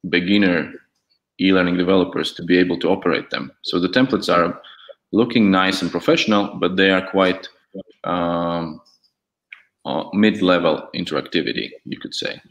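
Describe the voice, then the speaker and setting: A young man whose first language is Latvian talks in a very low voice over a headset on a video call. He speaks candidly and haltingly, restarting his sentences often. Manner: candid, hesitant, many restarts. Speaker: guest, e-learning template developer. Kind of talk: casual video call chat.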